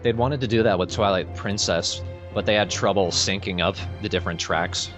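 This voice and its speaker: A man's voice: low voice, fairly even intonation, moderate pace. A man hosting a let's play, chatting casually and dryly with a co-host and swearing freely. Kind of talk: casual gameplay commentary with a co-host. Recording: game music under the voice. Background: video game soundtrack.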